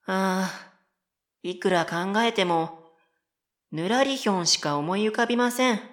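A woman voicing a polite, whimsical and stubborn middle-school girl in a comedic radio drama, deadpan and following her own rhythm. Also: mid-range voice, unhurried, natural voice. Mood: earnestly deadpan. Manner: polite speech, deadpan, self-paced.

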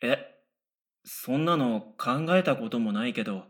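A man's voice: medium pitch, expressive, measured pace. A man playing a middle-school boy, the dry, exasperated straight man of a radio comedy, giving retorts and wry narration. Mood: puzzled. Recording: loud background music.

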